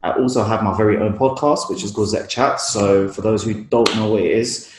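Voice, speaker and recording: A man's voice: fairly low pitch, fairly quick, noisy background. A young man with a London accent talking casually and informally about his work as a photographer in an interview. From East London, UK. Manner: informal, slangy. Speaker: guest photographer. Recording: roomy, echoey room sound.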